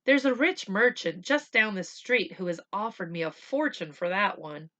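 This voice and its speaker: A woman's voice: expressive, normal speed, bright, forceful tone, lo-fi sound. A woman voicing a kindly, hearty old male doctor in a storybook, with emphatic delivery. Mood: kind, cheerful. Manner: emphatic, booming declarations.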